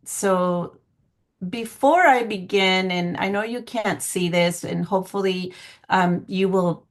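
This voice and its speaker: A woman from Guatemala giving a semi-formal online presentation in an earnest, measured way with some hesitant phrasing, speaking over a video call. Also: mid-range voice. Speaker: public health planning coordinator giving a presentation. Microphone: video call microphone.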